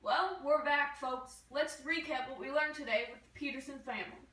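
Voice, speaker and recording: A woman's voice: high-pitched, normal speed, fairly bright tone. A young woman giving a scripted, explanatory educational presentation in the manner of a show host. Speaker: puppet show performer playing a TV host. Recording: echoey room, distant mic.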